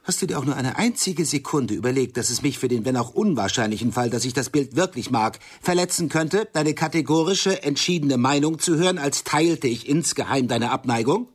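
Haughty, wounded art buyer: male, slightly husky, very animated intonation, eloquent and biting, radio play. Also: mid-range voice, brisk, hardly any pauses. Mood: indignant, reproachful. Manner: eloquent, biting, pointedly precise. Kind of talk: stage-play dialogue in radio drama.

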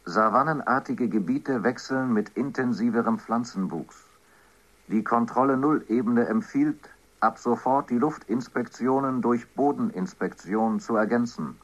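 A muffled film soundtrack carries an official survey narrator, played by a man. He is medium-pitched, formal and detached, in radio drama style. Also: a little slow, a little hiss or hum, lightly acted. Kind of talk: scripted documentary narration within a radio drama. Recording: dull, band-limited film soundtrack.

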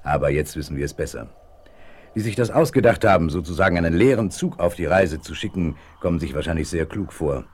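Deep-voiced, commanding male outlaw boss. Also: lively intonation, a little mellow, slightly rough. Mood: scheming, scornful. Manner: commanding, deliberate. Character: cool, calculating male leader of a Western outlaw gang. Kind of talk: 1970s German radio play acting.

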